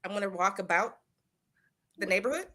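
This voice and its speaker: A woman with a Southern American accent chatting casually on a live show, animated and quick to laugh. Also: mid-range voice.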